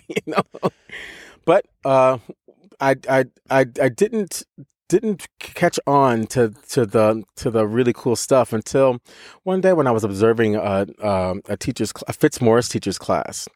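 A man co-hosting a podcast, telling a story in a lively, casual way, with frequent laughs, restarts and casual swearing. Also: medium pitch, measured pace, no echo, clean studio sound. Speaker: podcast co-host, voice teacher.

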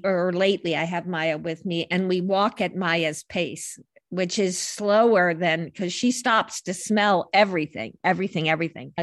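A woman's voice: fairly low pitch, no echo, clean studio sound. An older woman who is a life coach and podcast guest, telling stories in a reflective, meandering way and often correcting herself mid-sentence. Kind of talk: casual conversational storytelling.

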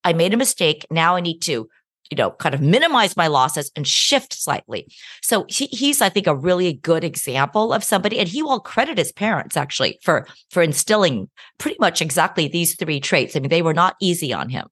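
A middle-aged woman speaks in a lowish voice that swings up and down freely as she answers an interviewer. She talks in an animated, chatty way and laughs often. The sound is dry and close. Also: fairly quick, no echo. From United States. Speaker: guest, law professor and author.

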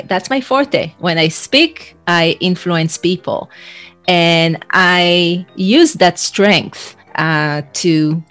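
A woman's voice: fairly low pitch, a bit bright. Israeli woman telling her story. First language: Hebrew. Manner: reflective, frequent restarts. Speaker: guest. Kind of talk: conversational storytelling. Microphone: video call.

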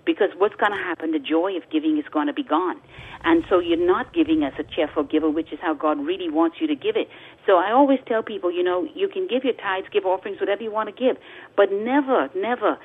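A woman's voice: fairly low pitch, fairly quick, slightly rough. A woman from the Bahamas, a guest author on a talk radio interview, speaking earnestly and repeating words for emphasis like a preacher. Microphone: phone call. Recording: tinny phone line.

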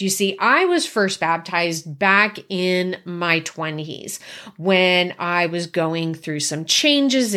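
A woman in her fifties, a life coach telling a personal story in a slow, reflective way with repeated phrases. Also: medium pitch, fairly bright tone. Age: 50s.

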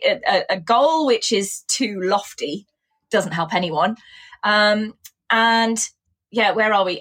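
Husky English teacher, a woman with a mixed accent. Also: fairly high pitch, a bit bright. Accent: mixed English, with traces of Coventry. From England. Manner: thoughtful, explanatory, many "you know"s. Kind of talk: casual interview chat.

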